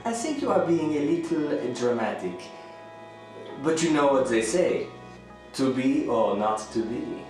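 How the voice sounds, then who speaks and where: A man's voice: medium pitch, expressive, a little slow, darkish tone, close to an everyday voice. Very echoey, swooping, placating man, acted. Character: needy, apologetic male houseguest, clingy, awkwardly eager to please. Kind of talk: radio thriller dialogue. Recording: very echoey room, music underneath.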